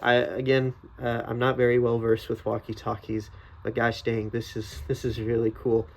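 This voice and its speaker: A man's voice: mid-range voice, monotone, normal speed. A man giving a casual, unscripted product review, thinking aloud in a hesitant, tentative way.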